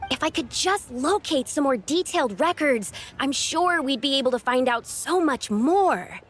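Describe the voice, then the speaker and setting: Earnest, high-voiced young lady scholar. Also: lively intonation, normal speed. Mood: earnest, explanatory. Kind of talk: video game voice acting. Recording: faint music behind the voice.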